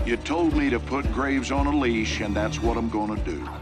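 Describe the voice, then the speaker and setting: An actor playing a gruff, defiant, cornered military general in a cinematic video game. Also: male voice, medium pitch, moderate pace, lightly acted. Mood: firm, businesslike. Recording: loud music behind the voice.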